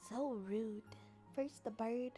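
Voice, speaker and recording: Young female livestreamer, high voice, silly and expressive casual chat, music underneath. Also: slow pace. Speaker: livestreamer chatting with viewers. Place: apartment.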